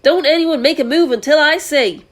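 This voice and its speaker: A woman reading aloud and voicing a panicky, excitable male fire chief who yells in alarm. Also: moderate pace, natural voice. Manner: excitable, yelling, voice climbing high.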